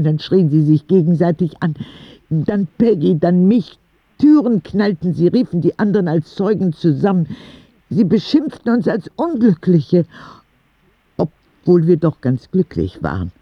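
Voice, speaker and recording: A man's voice: a little high, lively intonation, measured pace, slightly rough, no room sound, muffled recording, full character voice. A man playing a candid, eager young boy who narrates his story confidingly in a radio drama. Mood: candid, excited.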